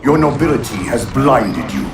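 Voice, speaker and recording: An actor playing an ancient, vengeful alien warlord in a video game, speaking with grandiose contempt like an orator. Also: male voice, mid-range voice, big pitch swings, normal speed, natural voice. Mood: cold contempt. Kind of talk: video game villain monologue. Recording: cavernous echo, distant, music behind.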